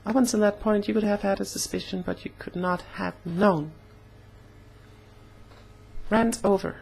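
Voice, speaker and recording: A young woman talking casually in a personal vlog, slightly hoarse, with an earnest, halting way of speaking. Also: mid-range voice, steady tone, darkish tone, a little hiss or hum, poor audio. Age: young adult. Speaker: vlogger.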